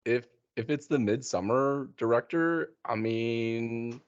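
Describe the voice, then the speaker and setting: A man with a medium-pitched voice chats casually about films. He muses tentatively and rewords himself as he goes. The sound is very dry, with a slightly dull lo-fi tone. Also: slow, clean tone, no echo.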